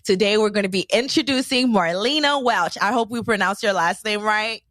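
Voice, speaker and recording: A woman's voice: medium pitch, fairly quick, fairly bright tone, no room sound. Bubbly woman podcast host, dry sound. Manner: bubbly, enthusiastic, addresses listeners. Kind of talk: casual podcast hosting.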